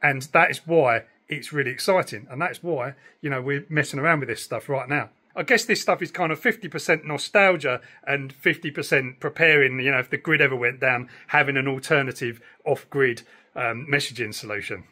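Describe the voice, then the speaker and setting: A man's voice: medium pitch, fairly quick, bright, forceful tone. A man, chatting enthusiastically and explaining things casually like a hobbyist vlogger. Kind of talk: casual explanatory vlog chat. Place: room in a house.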